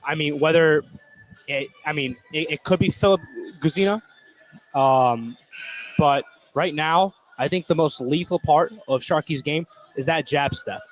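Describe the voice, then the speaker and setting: An American man with a slightly high voice provides color commentary on basketball. His delivery is conversational and slangy, like casual analysis between plays. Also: unhurried, slight background noise, low-quality recording. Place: indoor high school gym.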